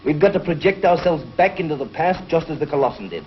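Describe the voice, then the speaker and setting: An actor playing a resolute space hero in a 1950s TV serial, decisive and emphatic. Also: male voice, on the high side, animated, quick pace, natural voice. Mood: urgent resolve. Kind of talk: 1950s TV science fiction acting. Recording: muffled, hissy 1950s TV soundtrack.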